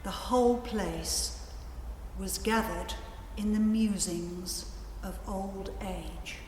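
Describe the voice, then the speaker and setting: Woman reading slowly like poetry, measured narrator, big echoey room, music behind. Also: mid-range voice, darkish tone. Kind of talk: scripted literary reading.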